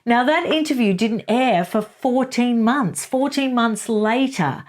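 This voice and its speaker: A woman's voice: on the high side, animated, measured pace. A woman hosting her own commentary channel, making emphatic opinionated arguments and reading passages aloud. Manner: emphatic, rebutting, reads quotes aloud.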